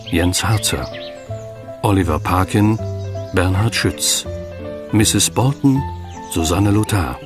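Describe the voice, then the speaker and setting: Slow, low male announcer over loud music. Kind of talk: formal radio play credits announcement.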